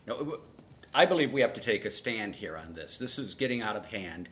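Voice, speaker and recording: A man's voice: fairly low pitch, animated, fairly quick, a bit bright. A man co-hosting a call-in show, talking bluntly and casually with firm political opinions. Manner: blunt, agreeing firmly. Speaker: talk show co-host taking calls.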